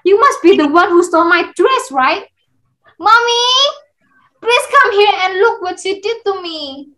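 A young woman puts on a spoiled young stepsister in an amateur play reading. The voice is extremely high, nagging and indignant, always accusing and whiny. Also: expressive, unhurried, a bit bright, exaggerated voice. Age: young adult. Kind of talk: amateur readers theater performance.

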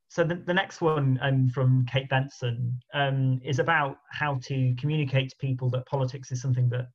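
Flat-voiced moderator on a muffled call. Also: male voice, medium pitch. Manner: hesitant, many "um"s and repeated words. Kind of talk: reading out audience questions.

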